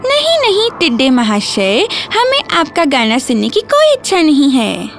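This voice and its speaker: A woman voicing a small, hardworking, stern female ant with a squeaky cartoon voice and a scolding manner, in a children's story. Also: wildly swooping pitch, a little slow, full character voice. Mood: firmly refusing. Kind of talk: children's animated story dialogue. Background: music.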